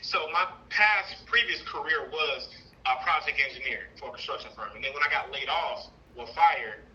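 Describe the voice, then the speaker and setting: A thirty-year-old man talking casually on a call, telling a personal story in a rambling, animated way. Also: a little high, moderate pace, lo-fi sound, slight background noise. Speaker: caller seeking advice, trucking business owner.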